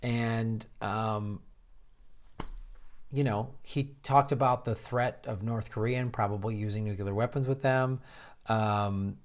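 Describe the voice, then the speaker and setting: A man's voice: lowish voice, steady tone. An American man giving casual political commentary in an incredulous, mocking manner, often breaking off and restarting his sentences. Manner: halting, with repeated false starts. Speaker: host of a political commentary show.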